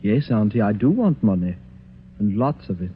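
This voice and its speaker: An actor playing a mocking young nephew who is a greedy killer, in 1940s radio acting style. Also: male voice, fairly low pitch, big pitch swings, moderate pace, slight background noise. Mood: menacing. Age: young adult. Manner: mocking, taunting, laughs. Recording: dull lo-fi old radio recording.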